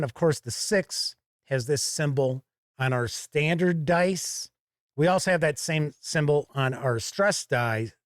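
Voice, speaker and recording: A man hosting a game review to camera, presenting in a deliberate, emphatic way from an indoor studio. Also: fairly high pitch, no room sound. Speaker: host and board game reviewer.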